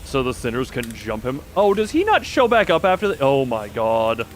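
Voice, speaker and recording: A man's voice: mid-range voice, wildly swooping pitch, normal speed, a little hiss or hum. A man commentating live on a game stream, chatting with his viewers as he plays.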